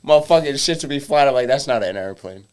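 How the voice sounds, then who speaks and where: A man's voice: mid-range voice, lively intonation, quick pace, darkish tone, smooth. A man in his twenties in a casual chat among family, laid-back, emphatic and swearing freely. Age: 20s.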